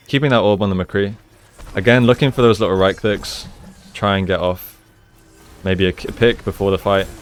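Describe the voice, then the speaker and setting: A man with a low, smooth voice talks through gameplay like a coach. He explains each play casually and slips into gamer slang as he goes. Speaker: esports coach and gameplay analyst. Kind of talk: live gameplay commentary and breakdown.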